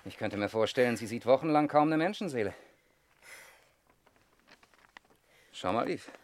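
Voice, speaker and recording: An actor playing a sardonic, smug young defence lawyer in a 1960s German radio play, speaking in a dry, ironic way. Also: male voice, mid-range voice, expressive, quick pace, close to an everyday voice. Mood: brisk. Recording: noisy 1960s radio recording with clicks.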